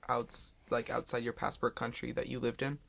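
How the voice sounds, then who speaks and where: A woman's voice: a bass voice, completely flat delivery, moderate pace, vocal fry, fairly bright tone. A woman who grew up as an American in China, hosting a casual chat in a rambling, like-peppered way. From China, as an American expatriate child. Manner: rambling, frequent "like". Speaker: podcast host.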